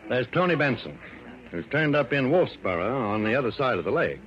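An actor playing a hesitant, fussy, cautious American insurance man in a mid-century radio drama, halting and hedging. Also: mid-range voice, big pitch swings, natural voice. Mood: fussy insinuating. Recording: muffled old radio broadcast.

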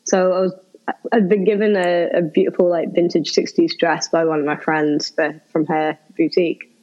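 A woman's voice: fairly low pitch, level tone, moderate pace, a little mellow. A woman, a comedian guest, chatting casually and easily, often laughing along. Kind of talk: casual chat. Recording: dull, lo-fi sound.

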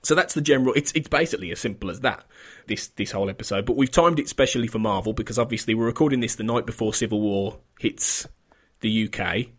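A man's voice: lowish voice, fast talker. A man hosting a film podcast, chatting casually with a slightly sniffly voice from an oncoming cold and often restarting his sentences. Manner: rambling, self-correcting, chatty. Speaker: podcast host.

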